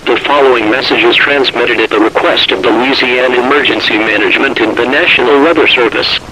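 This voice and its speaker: A man's voice: medium pitch, brisk, noisy background. A man delivering a scripted emergency alert in a formal, impersonal, commanding manner.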